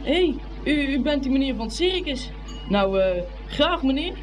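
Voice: high-pitched, animated, moderate pace, music in the background. An actor playing a plucky, indignant young schoolboy at a fair, exclaiming and muttering in a radio play. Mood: cheeky, eager. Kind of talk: Dutch radio play acting.

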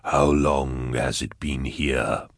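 Relentless male interrogator, put on by a male narrator: extremely low, slow and husky, terse audiobook menace under strain. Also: level tone, dry recording, studio quality. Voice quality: husky, grunting. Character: grim, commanding male interrogator, straining with concentration. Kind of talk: dramatised audiobook dialogue.

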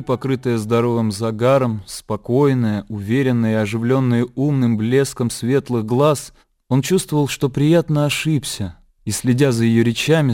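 A man reading scripted literary narration for a radio play in a measured, descriptive storytelling manner. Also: mid-range voice, mellow. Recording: clear outdoor nature ambience behind.

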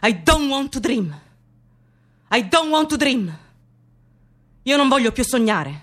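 A woman's voice: high voice, big pitch swings, slow, fairly bright tone, heavily acted. An actress playing a lone, anguished working woman with a Sicilian accent, in an incantatory poetic radio monologue. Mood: weary, desperate. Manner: incantatory, repeating short phrases.

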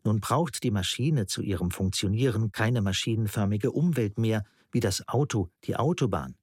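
A man's voice: fairly low pitch, normal speed, darkish tone, slightly rough, no echo, clean studio sound. An actor playing an earnest male theorist who lectures precisely and didactically in a German radio play. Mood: earnest, didactic.

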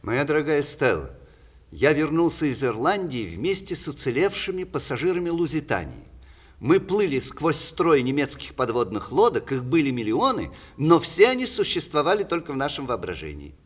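An ageing, witty playwright in a classic radio play. His delivery is declamatory and ironic, swinging widely in pitch as he pronounces on the world with strong opinions. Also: very animated, moderate pace, breathy edge. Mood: wry, reflective. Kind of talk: 1960s Russian theatre radio play acting.

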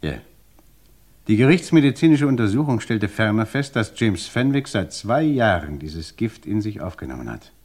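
A probing, insistent investigator. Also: male voice, lowish voice, very animated, a little mellow. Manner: measured, insistent questioning. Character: calm, probing male investigator interrogating a widow. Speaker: interrogator. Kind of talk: radio crime play.

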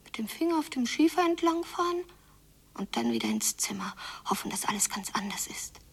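A woman playing a frightened daughter in a radio drama, thinking aloud in hushed, breathy fragments. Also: sits high, expressive, a little fast, whispered, far from the mic. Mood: wistful, numb. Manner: hushed, breathy interior monologue in clipped fragments. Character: Jule, frightened daughter brooding over her quarrelling parents. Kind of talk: literary radio drama monologue.

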